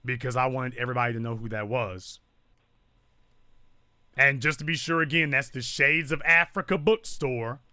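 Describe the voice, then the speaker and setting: A man's voice: mid-range voice, fairly even intonation, normal speed, pushed and bright, clear, resonant tone, no echo, clean studio sound. A man hosting a live call-in talk show, speaking in a blunt, lecturing way.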